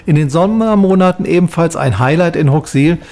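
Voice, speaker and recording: A man's voice: on the high side, brisk, darkish tone. A man reading a scripted voice-over as the narrator of a travel vlog.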